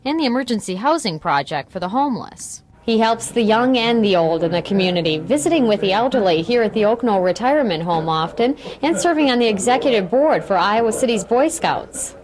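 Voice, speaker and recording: A woman's voice: a little high, lively intonation, fairly quick, few pauses, a little mellow. A woman reporter reading a scripted feature story for television news.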